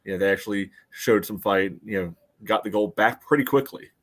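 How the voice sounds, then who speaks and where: A man's voice: lowish voice, brisk, fairly bright tone. A man co-hosting a soccer podcast, casually analysing play in an explanatory way with frequent "you know" asides. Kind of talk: casual sports analysis chat.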